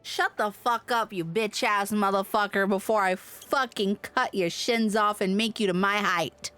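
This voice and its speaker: A woman's voice: mid-range voice, steady tone, a little slow, fairly bright tone, clean tone, faint music behind. A woman livestreaming an online game with casual, playful, salty commentary, muttering to herself as she plays. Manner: playful, foul-mouthed mock threats and muttering to self.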